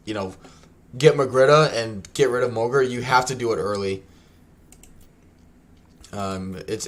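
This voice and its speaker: A young man streaming a strategy game, chatting loosely with many ums and restarts, his voice scratchy from a long day. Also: fairly low pitch. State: scratchy tired voice. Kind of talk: casual gameplay livestream chat.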